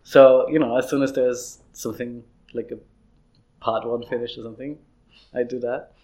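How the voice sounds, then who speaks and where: A man's voice: medium pitch, steady tone, normal speed, a little mellow. A young man, an illustrator, chatting in an interview, rambling with frequent restarts. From Austria. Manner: rambling, restarts often, laughs easily. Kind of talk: casual interview chat.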